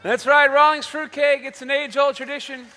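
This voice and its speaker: A man's voice: very high voice, little pitch movement, normal speed, sharp tone. A man playing a jovial, wry old-time radio announcer, delivering comic sponsor spiels and monologues. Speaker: radio show announcer and host. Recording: echoey hall, faint music.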